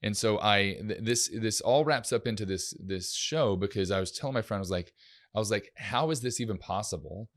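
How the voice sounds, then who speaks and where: A man's voice: fairly low pitch, a little fast. A man co-hosting a casual talk show, speaking in a reflective way with frequent restarts. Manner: reflective, many fillers and restarts. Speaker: podcast co-host. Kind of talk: casual chat.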